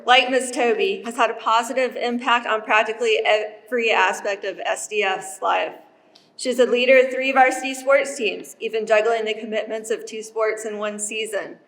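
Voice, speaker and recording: A woman's voice: fairly high pitch, steady tone, normal speed. A woman presenting at a school awards ceremony. She reads a prepared citation formally and laughs lightly at the funny parts. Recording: long reverb from a large hall.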